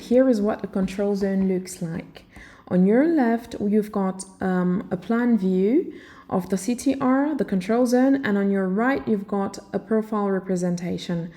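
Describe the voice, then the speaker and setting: A woman with a soft-edged, mellow tone narrates a vlog. She explains aviation work in a casual, conversational style, at a medium pitch. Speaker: air traffic controller hosting a vlog.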